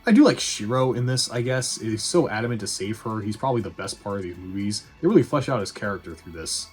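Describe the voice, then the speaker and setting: A man's voice: on the low side, expressive, fast. A man doing a casual, opinionated review voice-over in a blunt, sweary way with wry asides. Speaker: video essay host reviewing movies. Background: background music.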